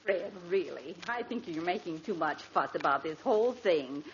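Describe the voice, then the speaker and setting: A woman's voice: lowish voice, expressive, normal speed. An actress playing a patient, mildly chiding middle-aged wife and mother in 1940s radio comedy style. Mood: placating. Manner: placating, matter-of-fact. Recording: dull, noisy old radio recording.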